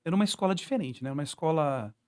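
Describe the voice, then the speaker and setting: Higher-voiced, quick-talking Brazilian man. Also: animated, dark tone. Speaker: guest musician, singer-songwriter. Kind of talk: casual conversational interview.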